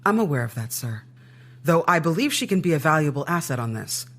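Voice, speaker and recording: An actor playing a guarded, determined intelligence agent in an audio drama, speaking formally, with measured firmness. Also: mid-range voice, sing-song, a bit bright. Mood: formal, insistent.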